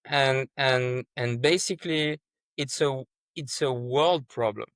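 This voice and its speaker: A man's voice: medium pitch, slow, fairly bright tone, dry recording. A man, a startup founder speaking earnestly as an interview guest, often repeating words and restarting his sentences. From France. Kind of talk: conversational interview.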